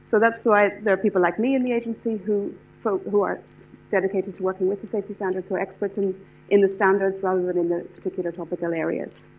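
Irish woman, middle-aged, brisk eager lecturer, steady mid voice, faint hum under the lapel mic. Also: medium pitch, low-quality recording. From Ireland. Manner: fast, enthusiastic, frequent restarts and fillers. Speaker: lecturer, standards specialist. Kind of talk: lecture to a class. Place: lecture hall with seated audience.

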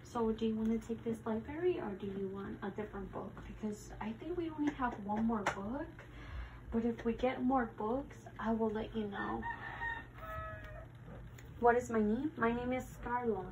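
A woman doing an ASMR roleplay as a helpful new library worker, speaking softly and hesitantly and sometimes dropping to a whisper. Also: fairly high pitch, normal speed, noisy background.

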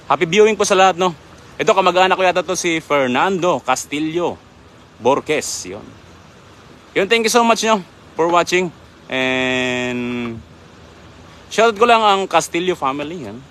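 A chatty young man hosts a live fishing stream by a river, warmly greeting viewers one by one. His voice sits a little high and is picked up by a handheld phone. Faint noise hums behind him. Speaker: livestream host. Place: outdoors by a river.

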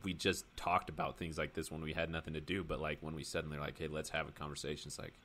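A man's voice: a bass voice, level tone, quick pace, a bit bright, slight background noise. A young man in casual podcast chat, rambling and filling his talk with "like". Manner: rambling, heavy use of "like". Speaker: podcast co-host, guest.

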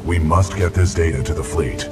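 Giant war robot, extremely deep, formal, deadpan, calm, video game, loud music behind. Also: male voice, moderate pace. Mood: calm, alert. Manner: formal, deadpan, clipped technical statements. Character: giant military robot titan, loyal, calm, logical. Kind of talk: scripted video game dialogue.

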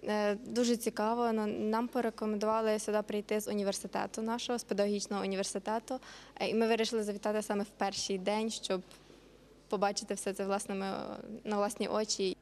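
A woman's voice: mid-range voice, level tone, fast, darkish tone, a little hiss or hum. A young woman student giving a casual vox pop answer into a reporter's microphone. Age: young adult. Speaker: student visitor interviewee. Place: exhibition hall. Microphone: handheld reporter microphone.

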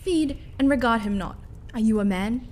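An imperious queen from Shakespearean tragedy, played by a woman, pitched on the high side. She is scornful and commanding, with theatrical delivery. There is faint noise on the recording. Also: expressive, moderate pace, darkish tone, close to an everyday voice. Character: commanding, scornful queen covering for her husband. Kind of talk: dramatic reading of Shakespearean tragedy.

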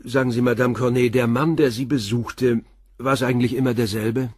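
A man playing a calm police inspector in a radio play, questioning witnesses in a measured, persistent way. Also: mid-range voice, husky, no room sound, studio quality, close to an everyday voice. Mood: steady.

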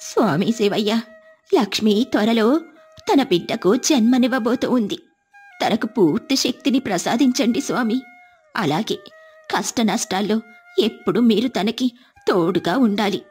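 A woman acts out a motherly, pious village cow owner in a cartoon moral story. She sounds fairly high-pitched, caring and earnest, with soft music underneath. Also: lively intonation, unhurried, long pauses, breathy edge, heavily acted. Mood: prayerful. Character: devout, motherly woman who owns a cow.

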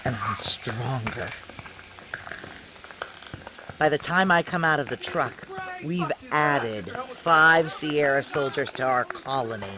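An actress playing a tough woman soldier fused with alien moss, narrating an audio drama slowly, deliberately and with intense awe. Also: a bass voice, lively intonation, background noise, full character voice. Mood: cold resolve. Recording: loud sizzling sound effects behind voice.

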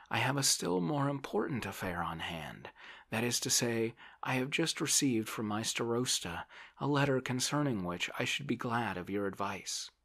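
Man reading as a fretful, high-pitched idle landowner. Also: a bit bright. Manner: plaintive, dithering, protesting. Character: fretful, indolent, helpless Russian landowner man. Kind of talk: audiobook character voice.

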